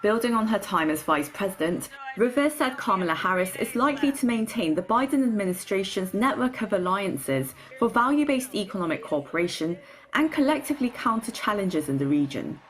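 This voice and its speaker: A woman's voice: medium pitch, big pitch swings, a little fast. A woman reporter narrating a scripted news report.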